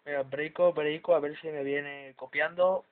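A man's voice: on the high side, steady tone, moderate pace. A man making repeated call-outs as a radio operator. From Spain. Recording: tinny radio sound, very loud static.

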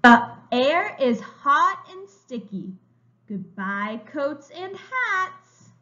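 A woman preschool teacher reading a children's story aloud, expressive and emphatic, as if to young children. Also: high-pitched, extremely slow. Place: room at home, indoors. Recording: slightly dull, lo-fi webcam sound.

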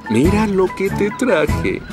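A kindly cartoon firefighter with a Latin American accent. He takes his time and sounds patient and reassuring, his pitch swinging in a lively way, with music behind him. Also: slow pace, exaggerated voice. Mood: coaxing. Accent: Latin American Spanish. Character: calm, kindly adult male firefighter hero. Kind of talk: animated series dub.

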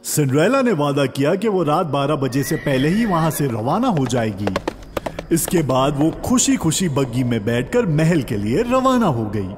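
A man's voice: fairly high pitch, big pitch swings, a little mellow. A man narrating a scripted fairy tale for children as a storyteller. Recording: long reverb, music underneath.

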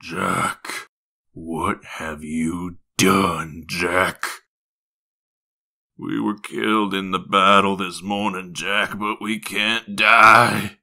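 A man voicing a slain, undead soldier in a comic book dub, raspy, accusing and mournful. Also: low-pitched, flat delivery, very slow, bright, forceful tone, heavily acted.

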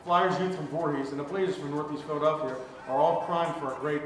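A man presenting a store promo in a slightly high voice, sounding off-mic and set back from the camera. The room rings with heavy echo and a long tail. Soft music plays underneath. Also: steady tone, fairly quick. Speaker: host. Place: hockey gear store, indoors. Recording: echoey room from far off.

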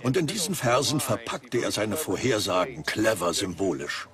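A man's voice: fairly low pitch, very animated, normal speed. A man explaining as an expert in a dubbed documentary. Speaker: prophecy expert.

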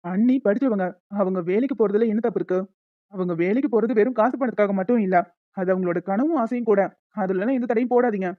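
A woman's voice: medium pitch, quick pace, no room sound, phone-quality sound, natural voice. A woman voicing a sensible young adult son in a cartoon family drama, matter-of-fact and reasoning. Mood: firm, reasoning.

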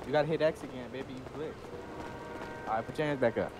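A man's voice: mid-range voice, fast. A young American man with a cocky, swaggering way of talking in profane, slangy gang roleplay. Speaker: online roleplay player. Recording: noisy online game voice chat.

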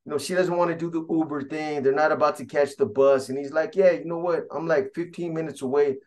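A middle-aged man with a Californian accent tells a street crime story in a loose, slangy way, talking right to his viewers. His voice sits a touch high for a man. He is recorded on a webcam at his desk in a small room at home. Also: fairly even intonation, brisk. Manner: streetwise, conversational, slangy, addresses viewers directly. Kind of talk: casual true crime storytelling.

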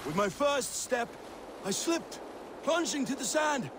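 A man playing a rough, boastful Viking raider who tells his tales in a video game, with a gravelly voice. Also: very high-pitched, sing-song, slow, full character voice. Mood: boastful, wry. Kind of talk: scripted game storytelling. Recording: clear water and boat noise.

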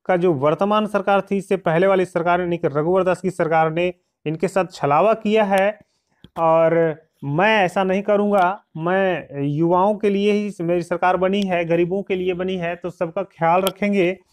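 A man's voice: high voice, fairly even intonation, moderate pace, smooth. A man hosts an informal online news update. He addresses viewers directly in an explanatory way, sometimes slipping into reading aloud. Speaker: YouTube channel host.

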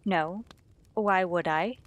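A woman's voice: fairly low pitch, slow. A woman playing a secretive, sweetly domestic suburban wife in a radio play. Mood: defensive.

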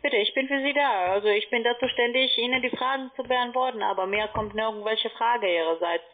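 A woman speaking as a non-native, giving a wordy, insistent sales pitch as a call-centre agent. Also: brisk. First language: not German. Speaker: call-centre agent selling online trading. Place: phone call. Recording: tinny, band-limited phone line.

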